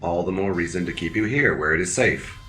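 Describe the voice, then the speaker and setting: An actor playing a formal, measured, deadpan security officer in a science fiction audio drama. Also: male voice, deep voice, a little hiss or hum. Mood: firm, dry. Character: stiff, dutiful male security officer on a spaceship. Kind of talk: scripted audio drama acting.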